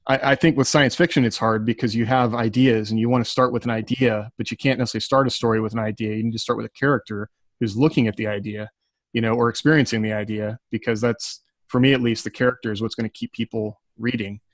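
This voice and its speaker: A man with a medium-pitched voice speaks as a writer on a podcast panel. He sounds earnest and encouraging in loose, conversational advice, recorded close and dry. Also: steady tone, fast, no echo. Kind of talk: casual panel talk giving writing advice.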